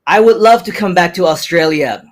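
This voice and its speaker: A man in his thirties with a fairly high voice hosts a casual live stream. He speaks mostly with an American accent that carries a faint Hong Kong tinge. His delivery is upbeat and chatty, with frequent repetitions as he reads out viewer comments. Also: brisk. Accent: American with Hong Kong hints. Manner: upbeat, chatty, repeats phrases, laughs often.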